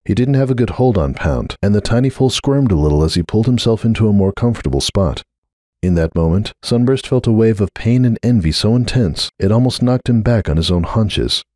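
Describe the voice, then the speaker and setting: Male storyteller, fairly low register, dry studio sound, expressive scripted narration for fiction. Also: a little fast, no room sound.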